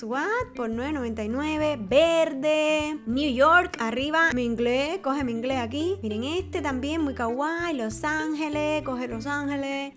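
A Cuban woman with a Cuban Spanish accent and a high voice, narrating a shopping vlog in voice-over. She talks in a chatty, exclaiming way, often laughing. Faint music plays under her. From Cuba. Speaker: shopping vlogger. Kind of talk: voice-over narration of a store haul. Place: home recording, voice-over.

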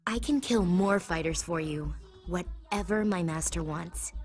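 A woman's voice: on the low side, a little slow, close to an everyday voice. An actress playing a cool, brash young swordswoman assassin in a video game, curt and dismissive. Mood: casual, devoted. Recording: long hall reverb, music behind.

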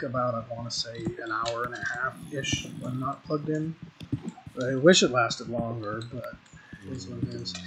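Chatty man with clicky background noise. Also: medium pitch. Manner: chatty, rambling, frequent ums and restarts. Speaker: gaming video creator. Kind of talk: casual gameplay commentary. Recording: noisy home recording with mouse clicks.

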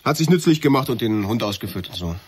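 An actor playing a casual, wry junior police detective in a radio drama, talking colloquially. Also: male voice, mid-range voice, animated, fast, a little hiss or hum, close to an everyday voice. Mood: wry, reporting. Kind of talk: German radio drama acting.

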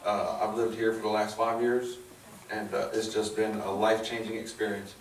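A man's voice: fairly low pitch, flat delivery, moderate pace. Chicago-accented man in his forties, earnest folksy testimony, recorded from afar in a noisy, echoing church hall. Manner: casual, plainspoken, earnest, folksy. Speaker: guest speaker sharing testimony. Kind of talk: personal testimony to a church crowd. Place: large hall with stage, indoors. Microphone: handheld or lapel mic, filmed by a far camera. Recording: roomy hall, distant mic, noisy.

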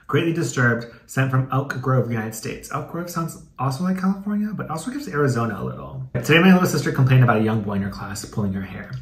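A man's voice: mid-range voice, lively intonation, fast, hardly any pauses, echoey room. A man doing a casual, comedic dramatic reading with commentary, in a sassy, campy, slangy way with some swearing. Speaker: YouTube host.

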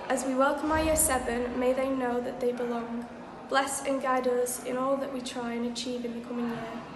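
A teenage girl reading a prayer aloud. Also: sits high. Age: teens. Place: indoor school room. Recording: echoey reverberant room, background noise.

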